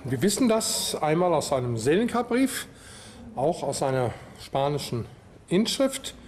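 A man explaining as an interviewed expert, in a measured, didactic way. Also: on the high side, sing-song, darkish tone. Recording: background noise and location ambience.

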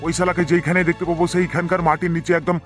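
A man voicing a rough cartoon gang sidekick who barks orders. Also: high voice, flat delivery, rapid-fire, lightly acted. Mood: menacing. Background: music.

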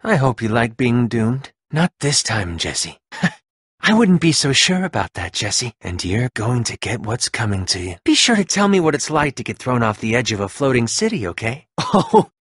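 A cocky, sneering young gang leader taunts his rivals with mocking laughs in a game. His voice swings widely in pitch and has a bright, sharp edge. The studio recording is dry and close. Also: sing-song, normal speed, husky, no echo. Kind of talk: video game voice acting.

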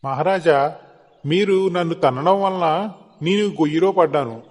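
A loyal, humble minister to a cartoon king, acted by a man, speaks with calm respect and reassurance. The voice is on the high side and carries a long hall reverb. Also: measured pace. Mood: calm, reassuring. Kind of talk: animated moral story dialogue.

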